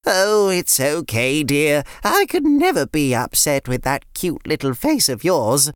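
A woman's voice: sits low, sing-song, measured pace, dry recording, studio quality, voice pushed up. A woman voicing a kindly older grandmother who loves biscuits, in a fussy, chatty way. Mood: fond.

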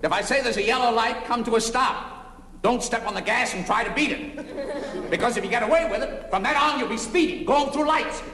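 High-pitched, exasperated American radio husband. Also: male voice, a little fast, sharp tone, full character voice. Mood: forced patience. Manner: bickering, sarcastic, indignant. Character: cantankerous, exasperated working-class husband, quick to blow up. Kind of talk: old-time American radio comedy sketch acting. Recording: old noisy radio recording, echoey hall, studio audience.

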